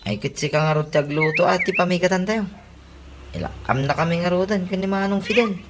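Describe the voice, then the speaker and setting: A woman's voice: fairly low pitch, brisk, background noise, close to an everyday voice. A woman with an Ilocano Filipino accent playing a familiar, complaining young cousin in a comedy radio drama. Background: loud background music.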